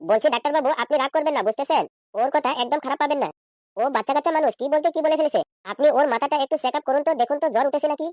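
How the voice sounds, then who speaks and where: A man's voice: very high voice, racing, darkish tone, no echo, studio quality. A man voicing an exasperated, insistent husband in a comedy cartoon. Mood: placating. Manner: insistent, questioning, reproachful. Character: exasperated, nagging cartoon husband questioning his wife.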